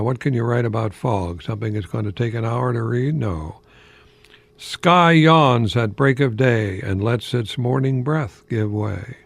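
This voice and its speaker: A man's voice: mid-range voice, creaky. An older American man giving an informal radio monologue in a rambling, hesitant manner with chuckling asides. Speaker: radio host, college professor.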